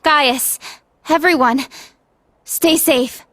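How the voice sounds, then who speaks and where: An actress playing a caring, spirited young heroine in a video game, sincere and expressive. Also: female voice, sits high, very slow, heavily acted. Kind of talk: video game cutscene acting.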